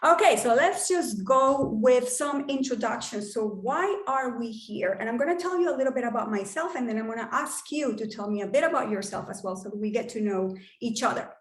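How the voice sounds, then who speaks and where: A woman's voice: on the high side, lively intonation, fairly quick, few pauses. Workshop host: a woman with a Colombian accent, native Spanish speaker, casual and upbeat, in a reverberant room. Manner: casual and upbeat, with occasional swearing. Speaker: workshop host and wealth coach. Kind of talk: online workshop talk.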